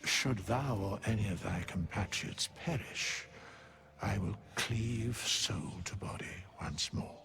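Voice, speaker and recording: Slow, gravelly ancient undead sage. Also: male voice, on the low side, a bit bright, full character voice. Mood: solemn, detached. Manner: slow, archaic, grave, measured speech. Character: ancient undead male keeper of souls, solemn, cryptic. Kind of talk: fantasy video game acting.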